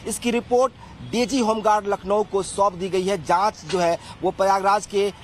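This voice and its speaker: A man's voice: high-pitched, steady tone, moderate pace, slight background noise. A male field reporter reading a formal news report.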